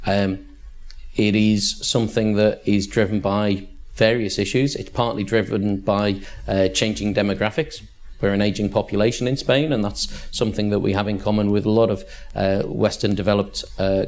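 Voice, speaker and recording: A man's voice: on the low side, darkish tone. A man from Liverpool with a Liverpool accent, speaking as a panelist at a conference in a direct, matter-of-fact way. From Liverpool, England. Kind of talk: conference talk.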